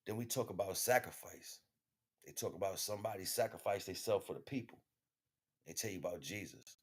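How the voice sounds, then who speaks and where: A man with an American accent and a notably low-pitched voice hosts a casual livestream monologue on spiritual matters. His delivery is conversational and slangy, with swearing mixed in freely. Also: fairly quick, husky. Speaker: livestream host.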